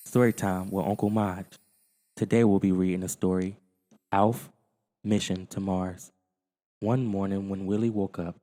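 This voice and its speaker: A man narrating a children's storybook in a theatrical, deliberate way. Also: sits low, mellow. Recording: long reverb, music underneath. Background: music.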